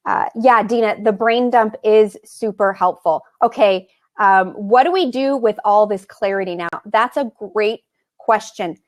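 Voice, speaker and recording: A woman business coach hosting a live online Q&A, talking fast and encouragingly while fighting a cold. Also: mid-range voice.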